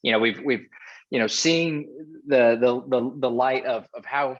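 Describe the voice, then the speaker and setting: A man's voice: medium pitch, measured pace. Eager podcast host man, lo-fi sound. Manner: eager, stumbling repeats. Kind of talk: casual podcast hosting.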